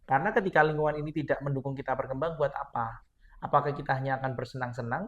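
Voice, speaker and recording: A man's voice: medium pitch, quick pace, fairly bright tone, highs cut off. A man in his thirties from Madura, a podcast guest giving earnest, encouraging advice to young listeners in a casual chat. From Madura, Indonesia.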